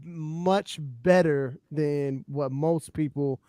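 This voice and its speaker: A man's voice: fairly high pitch, drawn-out words, dark tone, no room sound, clean studio sound. A man co-hosting a podcast, casually debating hip-hop in an emphatic, explanatory way and checking in with short tag questions. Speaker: podcast co-host.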